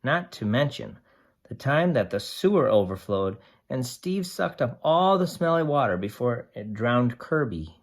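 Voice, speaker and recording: Slow, animated storyteller reading to young children, gender ambiguous. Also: medium pitch. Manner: slow, deliberate, explains and asks simple questions. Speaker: read-aloud storyteller. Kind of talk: expressive picture-book read-aloud with asides to young children.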